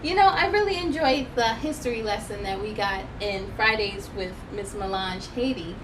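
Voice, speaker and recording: A woman hosting a children's read-aloud, reading expressively and speaking to young viewers in an animated, emphatic way. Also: medium pitch, normal speed, noisy background.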